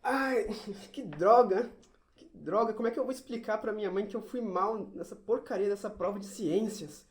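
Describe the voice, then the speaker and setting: A woman's voice: medium pitch, normal speed, lightly acted. A woman acting as a sulky, easily flustered schoolboy in an amateur audio drama, stammering with exasperation. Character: whiny, sulky schoolboy who hates science, easily flustered.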